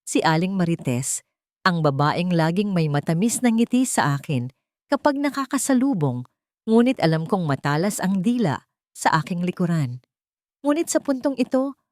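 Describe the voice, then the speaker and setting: A woman's voice: medium pitch, big pitch swings, moderate pace, darkish tone, no echo, studio quality. Woman narrating an emotional audiobook. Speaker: storyteller. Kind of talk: first-person dramatic audiobook narration.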